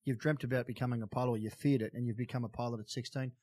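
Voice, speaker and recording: A man's voice: medium pitch, steady tone, very fast, darkish tone, dry recording. A man with an Australian accent hosting a casual interview in a matey, enthusiastic way. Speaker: podcast host and interviewer.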